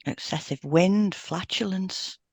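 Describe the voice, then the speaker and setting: A woman's voice: fairly low pitch, slow, breathy edge, dry recording. A woman co-hosting a podcast, reading scripted health information in an informative way. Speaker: podcast co-host and hypnotherapist. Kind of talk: scripted educational podcast, read aloud.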